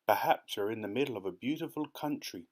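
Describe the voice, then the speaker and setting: A man acts out an elderly gentleman grasshopper from a storybook. He is a courteous optimist who reassures everyone around him. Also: mid-range voice, animated, fast, natural voice. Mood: cheerful. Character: kindly old male grasshopper, cheerful, reassuring optimist.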